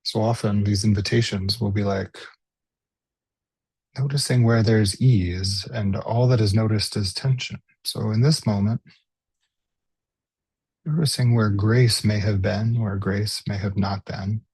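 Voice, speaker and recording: Male meditation teacher, slightly low pitch, husky voice, gentle unhurried delivery, close dry sound. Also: steady tone, no room sound, poor audio. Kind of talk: guided body scan meditation.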